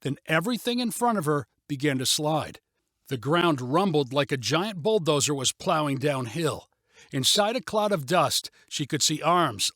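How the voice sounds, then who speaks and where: A man's voice: on the high side, a bit bright, no room sound, clean studio sound. A man narrating a story in a scripted audiobook style.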